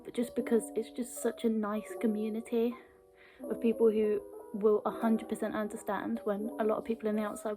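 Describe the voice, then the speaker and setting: Earnest British woman with a mild stammer. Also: on the high side. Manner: earnest, with small word repetitions. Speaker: video blogger. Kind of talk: casual personal talk to camera. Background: background music.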